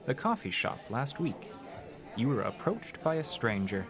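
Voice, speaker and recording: An actor playing a probing, clinical interviewer in an audio drama, speaking in a formal, precise manner. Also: male voice, mid-range voice, big pitch swings, measured pace, over music. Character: probing, clinical male interviewer questioning an old man.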